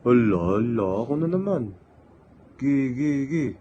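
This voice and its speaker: A man's voice: mid-range voice, expressive, unhurried, dark tone, lightly acted. A young man playing a reluctant member of a friend group in an amateur radio drama. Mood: casual reluctant. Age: young adult. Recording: dull lo-fi audio, faint noise.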